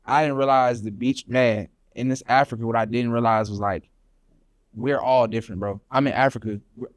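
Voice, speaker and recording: A man's voice: medium pitch, steady tone, normal speed, no echo. Laid-back slangy man from Atlanta. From Atlanta, Georgia. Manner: laid-back slangy, says "bro" and "you know what I'm saying" a lot. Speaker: podcast guest. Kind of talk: casual storytelling chat.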